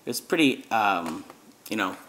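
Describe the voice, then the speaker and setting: A man's voice: fairly low pitch, very animated, moderate pace, a bit bright, slight background noise, poor audio. An American man who grew up abroad, talking casually in a rambling way with many fillers and restarts, as host of his own video. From United States. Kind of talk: casual vlog talk.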